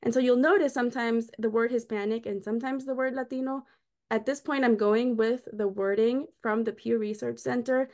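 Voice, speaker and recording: A woman's voice: a little high, fairly even intonation, moderate pace, darkish tone. A middle-aged woman from Costa Rica giving a friendly, explanatory lecture over a video call from a small room. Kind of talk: online lecture with slides. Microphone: webcam on a video call.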